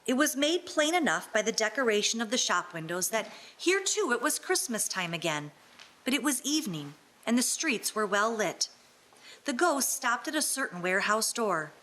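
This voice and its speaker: Woman narrator reading a story, highish voice, very expressive pitch, radio play style. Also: normal speed.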